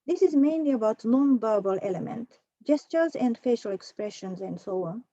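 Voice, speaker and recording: A woman presenting a prepared academic talk at an online conference, measured, with a few fillers. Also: a little high, expressive, warm tone, no room sound, lo-fi sound. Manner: measured, reads from a prepared text with occasional fillers. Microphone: computer mic for an online talk.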